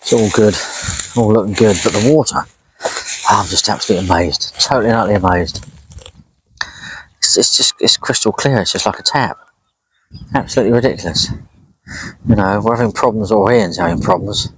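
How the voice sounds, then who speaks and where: A man's voice: on the low side, brisk, pauses often, a bit bright, husky, rough recording. A man chatting casually and unhurriedly as he narrates an outdoor fishing vlog by a lakeside, rambling as he goes. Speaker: angler vlogging a fishing trip.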